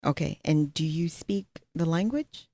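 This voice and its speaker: Woman host, very low voice, dry close sound; direct, probing interviewer. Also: a little slow, dark tone, no room sound. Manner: probing, asks direct follow-up questions. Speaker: podcast host.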